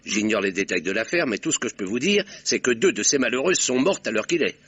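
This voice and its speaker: An actor in an old radio drama playing a shrewd doctor who investigates crimes, calm and ironic as he explains his deductions. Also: medium pitch, quick pace, slightly rough. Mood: worried. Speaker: amateur detective doctor. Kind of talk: 1960s French radio drama acting. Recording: dull old radio broadcast recording.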